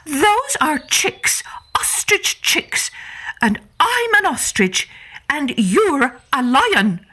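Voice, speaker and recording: A woman voicing a distressed, protective mother ostrich in a children's story, shrill, pleading and insistent. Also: big pitch swings, drawn-out words, bright, forceful tone, heavily acted.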